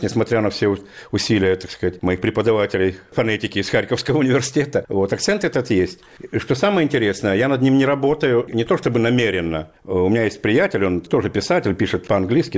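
Brisk, low-voiced man from Ukraine. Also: darkish tone. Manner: thoughtful, frequent verbal fillers. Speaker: writer being interviewed. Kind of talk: relaxed conversational storytelling.

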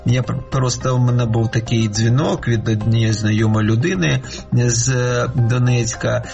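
Halting, gravelly-voiced male scholar. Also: mid-range voice, fairly even intonation, highs cut off, music in the background. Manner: thoughtful, halting, searching for words. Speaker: scholar interviewee. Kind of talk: reflective interview answer.

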